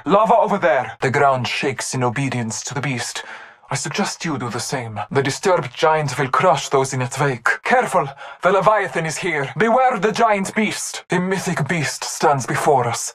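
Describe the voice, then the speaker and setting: An actor voicing a solemn, devout hunter in a video game, giving short callouts in a measured, formal, stoic manner. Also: medium pitch, lightly acted. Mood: alert, terse, then solemn, reverent. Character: solemn, devout masked hunter, calm and reverent.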